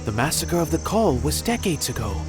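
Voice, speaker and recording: An actor playing a wary, probing inquisitor in a video game, speaking in a deliberate, dramatic way. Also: male voice, on the high side, big pitch swings, over music. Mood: suspicious, stern.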